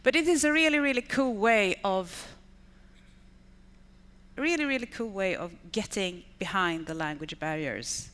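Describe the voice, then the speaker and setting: A woman's voice: mid-range voice, expressive, unhurried, fairly bright tone, clear, resonant tone. A Swedish woman in her fifties, a conference speaker whose first language is Swedish, giving an enthusiastic talk in English and piling up repeated words like "really, really.". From Sweden. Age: 50s. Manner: enthusiastic, stacks repeated intensifiers. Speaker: conference speaker, education manager. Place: large conference hall. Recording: reverberant hall with long decay.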